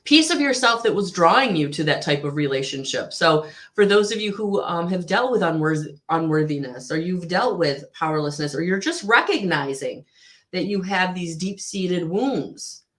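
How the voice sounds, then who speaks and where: A woman giving a live tarot reading to viewers, with a husky voice, slightly hoarse after a recent illness, speaking in a soothing, repetitive way with frequent ums. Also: lowish voice, lively intonation, moderate pace. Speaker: tarot reader.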